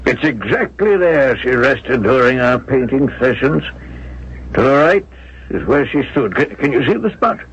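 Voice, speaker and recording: A husky old man in a 1970s radio drama, haunted and wistful with love for someone long dead. His delivery is halting and emotional, on a muffled old broadcast recording. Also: medium pitch, big pitch swings, heavily acted. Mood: rapt longing. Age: older.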